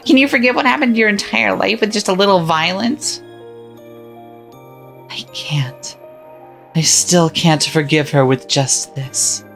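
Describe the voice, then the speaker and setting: A woman's voice: mid-range voice, unhurried, creaky, pauses often, fairly bright tone. A woman voicing a cruel, cackling witch who mocks and taunts, with faint music behind. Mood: menacing. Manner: mocking, taunting, frequent cackling laughter. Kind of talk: visual novel voice acting.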